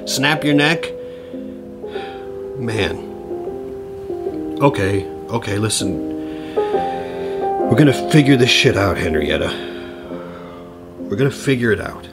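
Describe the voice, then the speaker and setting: A man's voice: mid-range voice, slow, pauses often, over loud music. Frazzled, stammering middle-aged man. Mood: despairing. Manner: stammering, rambling, exasperated. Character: harried, foul-mouthed, despairing middle-aged divorced consultant. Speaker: actor. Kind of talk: audio theater acting.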